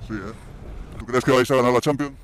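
A man's voice: mid-range voice, a little fast, slight background noise. A man from Barcelona, a native Spanish speaker, doing casual street interviews in a matey way outdoors with a handheld mic. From Barcelona, Spain. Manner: informal, matey. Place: outdoors on a city bridge. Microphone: handheld interview microphone.

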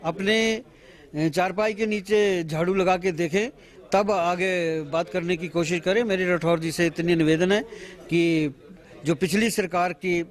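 A man in his fifties, a party official, giving a halting press statement to reporters with many pauses and restarts, speaking into a bundle of handheld mics outdoors at a bus station. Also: high-pitched, fairly even intonation. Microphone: bundle of handheld news mics.

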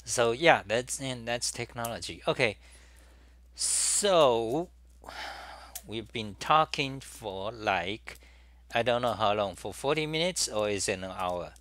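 A man's voice: medium pitch, lively intonation, slow pace, fairly bright tone, no room sound. A middle-aged man, talking alone to camera in a meandering monologue full of "you know" and restarts. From Taiwan. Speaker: talk show host. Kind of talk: rambling solo monologue. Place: small room at a desk.

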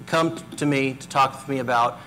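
A man's voice: medium pitch, steady tone, fairly quick, fairly bright tone, slight background noise. A middle-aged man, a political candidate in a panel debate, talking assertively and repeating himself over interruptions, on a lapel mic in a community hall. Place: community center hall with audience.

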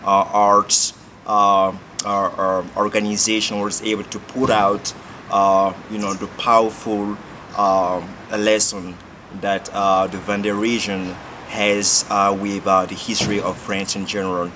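A man's voice: fairly low pitch, flat delivery, a little slow, sharp tone. Hesitant young man in noisy courtyard. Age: 20s. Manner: hesitant, many fillers. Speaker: exchange student. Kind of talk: casual interview answers. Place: outdoor courtyard by glass building.

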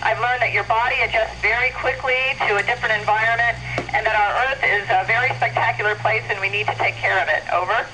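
A woman with a fairly high voice speaks as an astronaut over amateur radio. She explains things simply, and clear static and radio noise sit on the transmission. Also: steady tone, fast talker, few pauses. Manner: plain, simple explanations, signing off with radio procedure. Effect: two-way radio transmission. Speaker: astronaut answering questions from space. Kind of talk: amateur radio question-and-answer.

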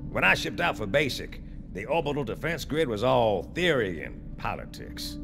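Cocky gravelly army sergeant in a game, folksy, wisecracks, real lively, music behind. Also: male voice, medium pitch, moderate pace. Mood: proud, confiding. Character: gruff, swaggering, wisecracking male marine sergeant. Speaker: game character voice. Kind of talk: video game cutscene acting.

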